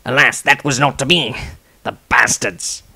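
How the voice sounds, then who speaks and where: A man's voice: mid-range voice, steady tone, unhurried, creaky, bright, forceful tone, slightly rough, heavily acted. A man voicing an earnest, aggrieved adventurer, reading his diary as scripted fantasy narration. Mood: bitter.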